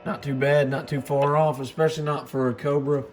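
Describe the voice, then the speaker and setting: Man with a higher-pitched voice, noisy recording. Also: flat delivery, a little fast. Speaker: host of a radio gear review channel. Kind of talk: casual unboxing and product demonstration. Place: indoor workbench in a radio shop. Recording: clearly noisy room recording.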